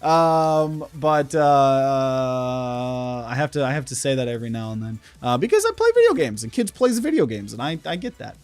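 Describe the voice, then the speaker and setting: A man's voice: mid-range voice, sing-song, moderate pace, darkish tone, clear, resonant tone. A man, talking to camera in a loose, rambling vlog full of fillers and restarts. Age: 20s. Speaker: content creator. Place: indoor room. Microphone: camera at desk.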